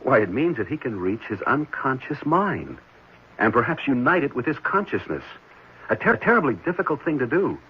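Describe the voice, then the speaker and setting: A man playing an earnest, intense enthusiast with a husky voice and emphatic, urgent delivery in a 1970s radio drama. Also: mid-range voice, big pitch swings, normal speed. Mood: amazed, earnest. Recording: muffled old radio broadcast.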